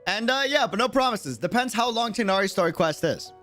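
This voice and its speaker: A man's voice: high-pitched, expressive, fairly quick, a bit bright, faint music behind. A man talking casually and jokily in slang as he livestreams a video game. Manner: slangy, informal, jokey. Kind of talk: casual livestream chat and reactions.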